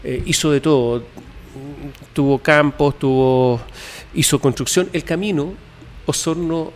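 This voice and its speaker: A man, a guest telling his family history in an interview, pausing often with fillers and restarts. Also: a little high, long reverb tail. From Chile. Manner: hesitant, many fillers and restarts.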